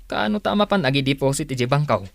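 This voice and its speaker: A man's voice: medium pitch, lively intonation, fast talker, creaky, dry recording. A man playing an easygoing, indulgent husband in an Ilocano radio drama, speaking casually and expressively. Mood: puzzled, amused. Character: easygoing, indulgent husband, curious and mildly surprised.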